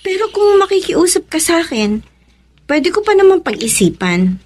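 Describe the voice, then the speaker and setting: An actress playing a considerate, apologetic younger sister in a Filipino radio drama, speaking in a conciliatory way. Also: female voice, extremely high pitch, big pitch swings, normal speed, darkish tone. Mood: apologetic, concerned. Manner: conciliatory, thoughtful.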